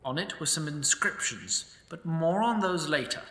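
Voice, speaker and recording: Male narrator, dry and wry, very bright voice, distant and echoey. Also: fairly high pitch, lively intonation, moderate pace. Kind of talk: scripted comic fantasy narration.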